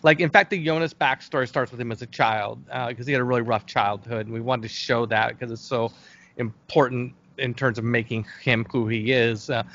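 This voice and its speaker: Male guest, average pitch. Conversational, feels his way through answers. Dull, low-fidelity recording. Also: fairly even intonation, fairly bright tone. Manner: hesitant, halting, thinking aloud. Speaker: interview guest, game world creator. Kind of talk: casual interview conversation. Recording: dull, lo-fi call audio.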